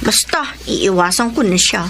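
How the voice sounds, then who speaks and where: A woman's voice: medium pitch, lively intonation, unhurried, fairly bright tone, a little hiss or hum. An actress playing a firm, principled young female student in a radio drama, speaking emphatically and expressively. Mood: troubled, confiding.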